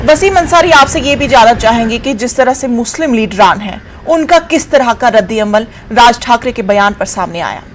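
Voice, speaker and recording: A woman with a fairly high voice anchors the news. She speaks in a formal broadcast style, putting questions with polished, literary diction. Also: lively intonation, moderate pace, few pauses, a little hiss or hum.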